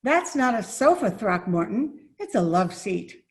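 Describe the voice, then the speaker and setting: A woman playing a genteel former fiancée in 1940s radio comedy style, coy and gently chiding. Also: mid-range voice, sing-song, unhurried. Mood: hospitable.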